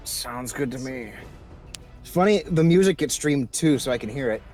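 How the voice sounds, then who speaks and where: Male gamer, average pitch, loose conversational stream banter over faint music. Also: animated, moderate pace, darkish tone. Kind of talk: casual livestream banter.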